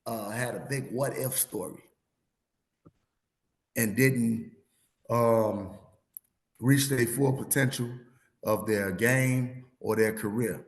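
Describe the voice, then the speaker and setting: A man in his thirties or forties hosting a sports podcast, losing his voice, rambling and animated as he tells stories in casual chat. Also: mid-range voice, takes it slowly, long pauses, roomy sound. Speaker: podcast host.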